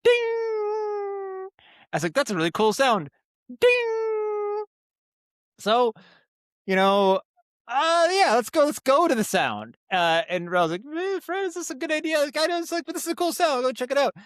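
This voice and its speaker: A man speaking as a guest in a casual interview, telling stories in an animated, halting way with asides and vocal sound imitations. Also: extremely high pitch, no room sound, clean studio sound. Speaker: guest, audio drama writer and director. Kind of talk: casual podcast interview, storytelling.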